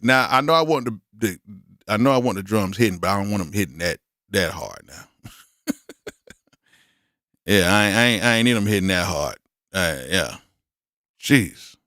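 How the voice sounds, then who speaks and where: A man hosting a live stream, reviewing beats in casual, blunt commentary, hoarse from yelling earlier and full of exclamations. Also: mid-range voice, no room sound, studio quality. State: hoarse, losing his voice from yelling.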